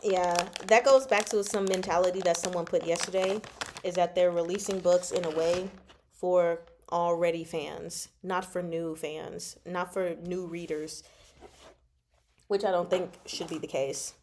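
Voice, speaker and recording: A woman's voice: fairly low pitch, fairly even intonation, a little slow, darkish tone, a little hiss or hum. A woman hosting a casual book discussion stream, rambling and playful as she thinks aloud and answers viewers. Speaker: host of a book discussion livestream.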